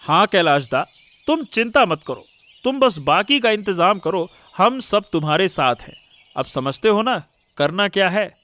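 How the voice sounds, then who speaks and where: A man's voice: sits high, animated, measured pace, bright, forceful tone, heavily acted. A man voicing a loyal, emphatic village friend in a Hindi moral-story cartoon. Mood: approving.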